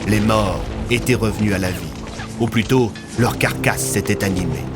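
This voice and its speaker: Guy narrating an audio story, kinda low voice, dramatic, loud music behind. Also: moderate pace. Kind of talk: scripted audio fiction narration.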